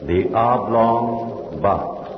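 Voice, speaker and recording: An actor playing a grave horror-show announcer in 1940s radio style, speaking slowly and solemnly. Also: male voice, on the low side. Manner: slow, solemn, drawn-out delivery. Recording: dull old radio recording, echoey with long reverb, faint hiss.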